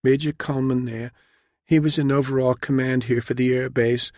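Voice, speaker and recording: Young female soldier, played by a man: New Jersey accent, raised pitch, timid and tearful, deferential to authority, for an audiobook novel. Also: steady tone, moderate pace, no echo, studio quality, natural voice. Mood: subdued, reporting. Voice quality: trembling, soft. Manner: hesitant, deferential. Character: frightened young female army captain, mid-twenties, meek and tearful.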